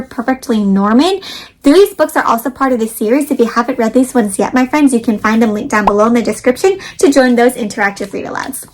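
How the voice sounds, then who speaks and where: A woman's voice: on the high side, rough recording. A woman hosting an interactive read-aloud for young children, speaking expressively and putting questions to her listeners. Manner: animated, expressive, asks listeners questions with pauses.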